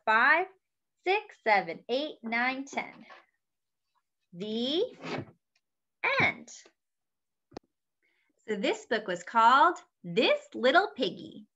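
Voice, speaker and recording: Young woman, children's storytime reader, sing-song and bright, slightly muffled home webcam sound. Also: fairly high pitch. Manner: cheerful, slow and sing-song, counting aloud. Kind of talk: reading a picture book aloud to children. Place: small room at home, indoors.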